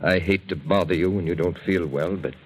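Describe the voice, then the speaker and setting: An actor playing an evasive, idle gambler husband, hesitant and defensive, in a 1940s radio drama. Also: male voice, sits low, brisk, slightly rough, poor audio. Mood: sheepish pleading. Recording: old 1940s radio broadcast.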